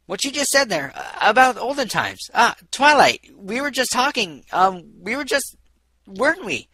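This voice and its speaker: A brisk and friendly female pony from a storybook, played by a man. She sounds high and animated, eager and coaxing, always a little pushy. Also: husky, no room sound, heavily acted, rough recording. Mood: hasty, coaxing.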